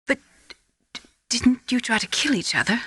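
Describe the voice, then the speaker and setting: A woman's voice: on the high side, expressive, moderate pace, a bit bright, husky, no room sound, full character voice. An actress playing an earnest female scientist in a video game, questioning and probing in a dramatic style. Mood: disapproving.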